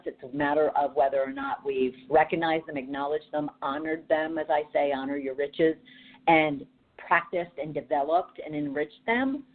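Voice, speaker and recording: A woman with a deep, raspy voice hosting a podcast as a leadership coach, speaking directly and challengingly with frequent fillers. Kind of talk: motivational podcast monologue. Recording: tinny phone-like audio.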